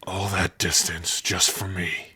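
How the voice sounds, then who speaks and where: A man voicing a big, gruff but tender orc warrior in an ASMR roleplay, shy and devoted, speaking haltingly and intimately close to the mic. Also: level tone, no echo, full character voice. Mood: devoted, grateful. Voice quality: husky, breathy low voice. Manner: slow, halting, softly intimate. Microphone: close dry microphone.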